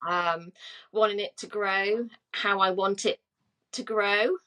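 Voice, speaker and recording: A woman in her forties from England chats easily on a podcast. She fills her talk with ums and yeahs and laughs often, at a middling pitch. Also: steady tone, slow pace, a bit bright. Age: 40s. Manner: chatty, laughs often, many fillers. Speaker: podcast co-host. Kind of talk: casual chat.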